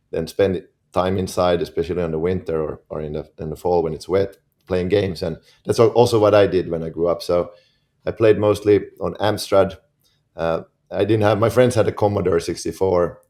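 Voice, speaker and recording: A man in his forties with a Finnish accent, a podcast guest who talks in an easy, relaxed way and often repeats words while he gets going. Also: sits low. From Finland. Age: 40s. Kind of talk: casual interview talk.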